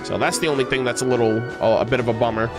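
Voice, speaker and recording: A man's voice: mid-range voice, steady tone, racing, over music. A man streaming video games, chatting casually with viewers in informal, teasing gamer slang. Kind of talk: casual livestream chat with viewers.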